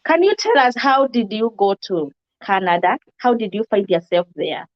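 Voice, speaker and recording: A woman's voice: mid-range voice, sing-song, normal speed, highs cut off. A woman hosting an interview in a casual, upbeat way, greeting guests and viewers. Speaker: host.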